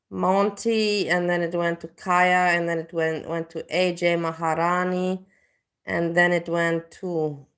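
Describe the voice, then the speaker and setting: Rambling woman chatting on a livestream. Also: fairly low pitch. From Indonesia. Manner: rambling, leans on "you know, like". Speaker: livestream host. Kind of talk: casual livestream chat.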